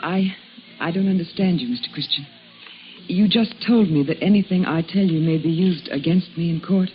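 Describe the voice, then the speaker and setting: A woman's voice: fairly low pitch, moderate pace, natural voice. Guarded, refined accused wife played by a woman. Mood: guarded, puzzled. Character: guarded, bewildered, worldly woman accused of murdering her husband. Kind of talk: 1950s radio drama acting. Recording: muffled, noisy old radio recording.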